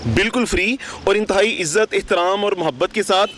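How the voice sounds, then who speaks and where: A man's voice: high-pitched, fairly quick, a bit bright. A young man with a Pakistani accent answering interview questions as a volunteer organiser, earnest and fluent with pious phrasing. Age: young adult. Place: outdoors beside a city park. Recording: outdoor street noise, passing vehicles.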